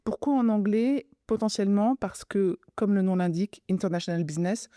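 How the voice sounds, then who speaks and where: Woman, interviewer, direct clear questions, warm mellow voice, dry close sound. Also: medium pitch, fairly quick, no room sound.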